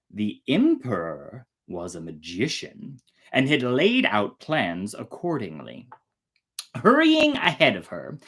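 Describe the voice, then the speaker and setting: A woman hosting a storytime, reading a fairy tale in a theatrical, deliberate way with casual asides. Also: very deep voice, slightly rough. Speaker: storyteller and host.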